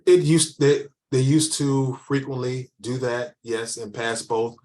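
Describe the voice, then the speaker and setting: A man working as an admissions counselor, answering questions in a relaxed webinar and often restarting his sentences. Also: medium pitch, a little slow. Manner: rambling, restarts often, many fillers. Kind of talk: informal webinar Q&A.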